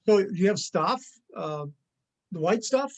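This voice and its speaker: A man acts out a menacing gunman with a high, loud voice. He is forceful and taunting, with some halting phrases, in stage-reading style. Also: expressive, heavily acted. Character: menacing male armed thug, loud and taunting. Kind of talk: church reader's theater acting.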